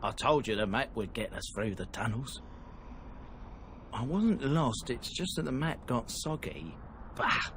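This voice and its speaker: A wry, lively companion. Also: male voice, mid-range voice, unhurried. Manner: wry, conversational. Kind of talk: video game cut-scene dialogue. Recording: noisy game audio.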